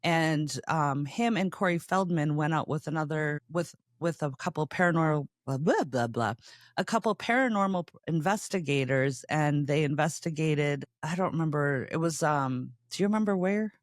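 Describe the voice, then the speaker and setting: Podcast host guy, kinda high voice, chatty storyteller, dry close sound. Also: moderate pace, dry recording. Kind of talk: casual chat.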